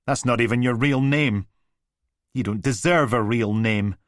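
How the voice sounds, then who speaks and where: A man's voice: medium pitch, a little slow, no echo, clean studio sound, pitched above the performer's own voice, lightly acted. A man voicing a drunken, cruel, volatile father whose menace rises into bellowing rage.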